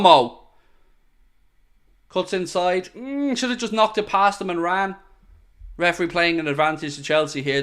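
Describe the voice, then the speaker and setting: Smooth-voiced man commentating a live match. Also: on the high side. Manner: excitable, jokey, addresses viewers directly. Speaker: host of a live football watchalong.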